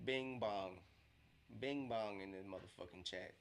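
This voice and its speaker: A man's voice: fairly low pitch, a little slow, slight background noise. A young man with a congested, nasal voice, casually reacting to a basketball game on a live watch-along stream, slangy and repeating himself.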